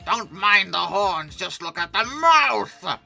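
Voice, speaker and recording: A woman voicing a boastful male Triceratops who roars and drags out his words, in a lower, louder voice than her own. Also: very animated, gravelly, faint music behind, exaggerated voice. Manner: loud boastful roar, slow drawn-out words. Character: gaping-mouthed male Triceratops showing off.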